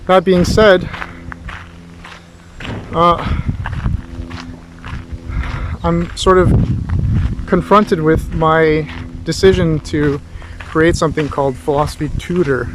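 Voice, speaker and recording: A man giving a rambling, reflective philosophical monologue like a tutor talking to himself, halting as he searches for words. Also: on the high side, noisy background. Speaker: philosophy tutor and vlog host. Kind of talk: rambling, reflective monologue recorded while walking.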